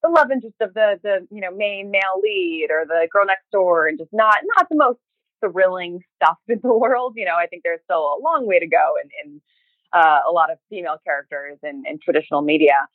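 A woman talking casually as an interview guest, halting and often rephrasing as she tells her story. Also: on the low side, no echo. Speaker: podcast interview guest, writer and creator. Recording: thin, phone-like narrow band.

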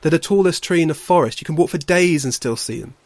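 A man's voice: on the high side, fast talker, darkish tone, no echo, voice pushed up. A man voicing a proud, serious young male bear, a stubborn older brother speaking earnestly in a storybook. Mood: skeptical. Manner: earnest, challenging.

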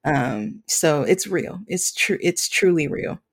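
A woman's voice: sits low, lively intonation, slow pace, husky. A woman talking reflectively as an interview guest, in an earnest way that often halts mid-thought. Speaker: podcast guest, nonprofit founder working with students.

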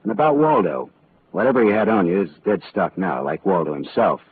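An actor playing a wisecracking, world-weary private detective in 1940s radio style, clipped and sardonic. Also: male voice, low-pitched, sing-song, fairly quick, breathy edge, exaggerated voice. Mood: sardonic, curt. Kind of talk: hard-boiled first-person narration and dialogue, 1940s radio acting. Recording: muffled old radio broadcast, faint music.

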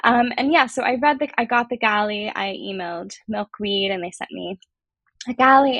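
A woman's voice: a little high, fairly bright tone, slightly rough, no room sound. Woman with an occasional light stammer. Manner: occasional minor stammer, chatty with fillers. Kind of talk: casual conversation.

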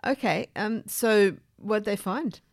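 A woman's voice: medium pitch, lively intonation, takes it slowly, no echo, clean studio sound. A woman hosting a conversational discussion of research, with an inquisitive, thoughtful manner. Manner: inquisitive, thoughtful, affirming listener. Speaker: podcast host and interviewer.

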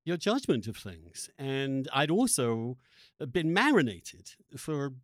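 A man hosting an interview podcast, speaking reflectively and earnestly in halting, emphatic phrases that often break off and restart. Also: mid-range voice, sing-song, dry recording, studio quality. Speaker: podcast host interviewing a guest.

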